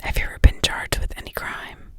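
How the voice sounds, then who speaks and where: A woman's voice: fairly quick, dry recording, lightly acted. A woman reading the part of a courteous male prosecuting attorney in a whisper, formal and emphatic.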